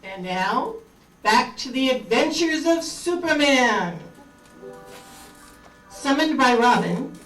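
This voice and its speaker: Fairly high female announcer, roomy sound. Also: expressive, slow pace, pauses often, a bit bright, soft background music. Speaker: narrator. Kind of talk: scripted radio drama narration. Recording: distant echoey room, faint noise.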